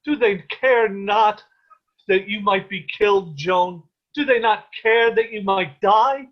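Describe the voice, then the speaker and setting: A man's voice: high voice, slow pace. A man playing a stern, protective peasant father in a table read of a period play, with ornate old-fashioned diction, over a video call. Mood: anguished. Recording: dull, lo-fi online call.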